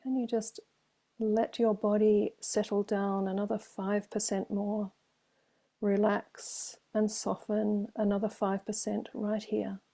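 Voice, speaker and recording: A woman leads a guided meditation as a teacher. Her voice is dark and relaxed, with very little rise and fall. She speaks gently and soothingly, leaving long silences between short phrases. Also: mid-range voice, gravelly. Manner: slow, gentle, soothing delivery with long pauses.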